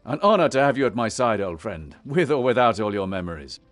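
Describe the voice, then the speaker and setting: An actor playing a noble, resolute young warrior leader in a fantasy game, earnest and commanding. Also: male voice, on the low side, sing-song, a little fast, faint music behind. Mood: warm, formal. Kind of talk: fantasy video game voice acting.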